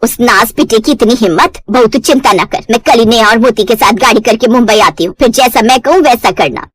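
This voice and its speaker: A woman's voice: a little high, fairly quick, slightly rough, dry recording, faint music behind. Scheming old mother-in-law, voiced by a woman: scornful, bossy, earthy village scold for a cartoon melodrama. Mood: angry scolding. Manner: scornful, commanding, earthy insults.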